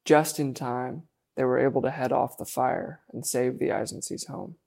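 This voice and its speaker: A male reporter narrates a news story in a flat, measured voice. A gravelly edge runs through his reading. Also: mid-range voice. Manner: even, measured delivery.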